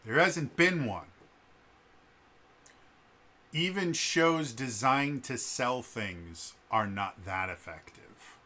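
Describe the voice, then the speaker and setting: A man's voice: mid-range voice, animated, slow, pauses often, pushed and bright. A man with a stutter vlogging unscripted, earnest, with many fillers and restarts. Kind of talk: unscripted vlog monologue.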